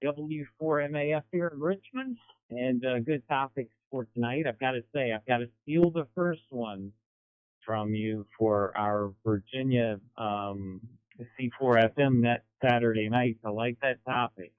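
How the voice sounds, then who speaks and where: Male American radio caller, middle-aged, smooth clear voice through a thin, narrow-band transmission, casual delivery. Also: mid-range voice, unhurried, dry recording. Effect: amateur radio transmission. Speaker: amateur radio caller, radio broadcaster. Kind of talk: casual radio check-in chat. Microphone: two-way radio.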